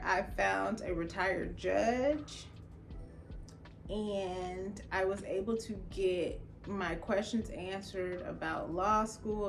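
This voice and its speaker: A young woman from Los Angeles, a lawyer who grew up speaking Jamaican Patois at home, recounts her own path in a loose, lively, conversational way. Her voice sits in an ordinary middle pitch. She slips into the voices of the people she quotes as she tells the story. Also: gravelly. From Los Angeles, California. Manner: expressive, casual, acts out quoted dialogue. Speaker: attorney. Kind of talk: personal storytelling.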